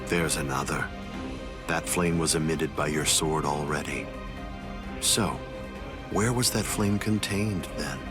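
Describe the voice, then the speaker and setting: An actor playing a calculating, arrogant villain swordsman in an anime game, lecturing in a deliberate, solemn and coldly composed way. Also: very deep voice. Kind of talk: dramatic anime game dub acting. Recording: music clearly behind the voice.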